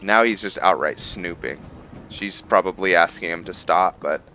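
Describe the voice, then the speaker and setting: A man giving casual commentary on a roleplay video game, halting as he thinks aloud and explains the lore. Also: low-pitched, pushed and bright, dull audio, soft background music. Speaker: gamer narrating a roleplay playthrough.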